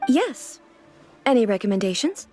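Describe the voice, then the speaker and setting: A woman voicing a regal female ruler in a video game, formal and measured, earnest and curious, with a naive literal streak. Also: a little high, big pitch swings, warm tone, soft background music. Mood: composed, curious.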